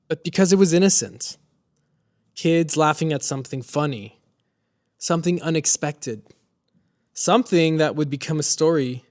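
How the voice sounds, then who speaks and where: Man, Spanish native from Mexico, slightly high-pitched; motivational storyteller, scripted, dramatic dynamics. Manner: dramatic, swings between soft and emphatic delivery. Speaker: motivational speaker. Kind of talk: scripted personal storytelling monologue.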